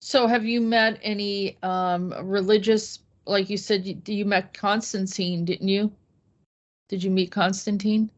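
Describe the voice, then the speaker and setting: A woman's voice: medium pitch, steady tone, unhurried. Woman interviewer on slightly dull lo-fi audio. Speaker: interview host. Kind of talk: casual conversational questioning.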